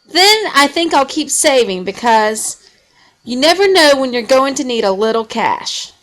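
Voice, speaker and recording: Woman voicing an eager, high-pitched little boy. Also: a little slow, heavily acted. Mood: excited. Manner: excitable, pleading. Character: eager seven-year-old boy wanting a toy.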